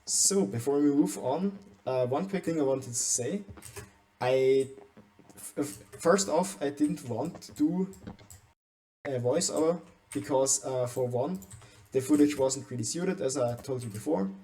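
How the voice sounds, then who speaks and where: A man's voice: medium pitch, a little slow, warm tone. A young man from Austria, with German as his first language, speaking English with an Austrian German accent as he talks through a game base tour, hesitant and often restarting his words. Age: young adult. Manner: hesitant, frequent restarts and stumbles. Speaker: gaming channel host.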